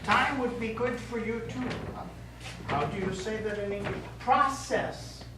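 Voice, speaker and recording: Man acting a slow-speaking, gentle priest, distant echo. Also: high voice. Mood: gently persuasive. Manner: measured, earnest, pastoral. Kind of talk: stage play acting. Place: theatre studio stage. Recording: echoey stage, distant mic, noisy.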